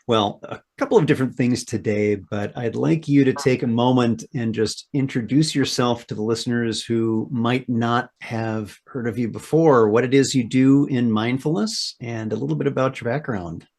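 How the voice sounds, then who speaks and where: Host, man, friendly interviewer, conversational, mid pitch. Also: normal speed, few pauses, darkish tone. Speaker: podcast host. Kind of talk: podcast interview.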